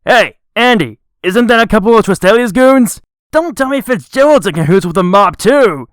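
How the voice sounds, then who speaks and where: A man voicing a panicky, indignant government agent in a comic dub, shouting frantically. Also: high-pitched, animated, normal speed, no echo, clean studio sound. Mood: alarmed. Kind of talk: comic book dub acting.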